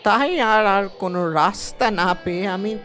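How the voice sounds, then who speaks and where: A man voicing a wily village man who pleads and feigns grave illness in a cartoon, speaking in a halting, theatrical way. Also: high-pitched, sharp tone, faint music behind. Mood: plaintive. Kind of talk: cartoon story acting.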